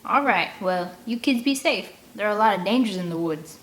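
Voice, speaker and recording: A woman's voice: medium pitch, lively intonation, brisk, fairly bright tone, close to an everyday voice. A woman voicing a friendly, concerned teenage boy calling out in a storybook read-aloud. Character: friendly, concerned sixteen-year-old country boy.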